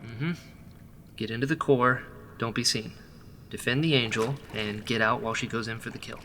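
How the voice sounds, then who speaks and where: A man's voice: medium pitch, fairly even intonation, normal speed, lightly acted. A man playing a cautious male rebel who was once a doctor, in a sci-fi audio drama, wary and skeptical. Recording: loud noise behind the voice.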